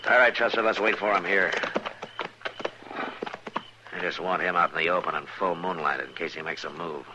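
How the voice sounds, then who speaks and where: A man playing a stern, steady frontier marshal in a western radio drama, speaking in a firm, terse, authoritative way. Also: deep voice, normal speed, natural voice. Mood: watchful, calm. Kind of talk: old-time radio western drama acting. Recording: dull, lightly noisy old radio recording.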